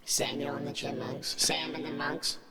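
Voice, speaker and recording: A man's voice: medium pitch, fairly even intonation, normal speed, creaky, a loud crowd. A man chatting casually and jokingly on a podcast, slangy and profane, peppering his talk with bro and dude. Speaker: podcast guest and co-host.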